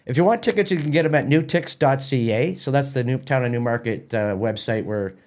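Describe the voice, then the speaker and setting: A middle-aged Canadian man talks casually as an interview guest. His voice sits at an ordinary medium pitch, and he explains things haltingly, often doubling back on his words. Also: darkish tone. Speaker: theatre company president, interview guest.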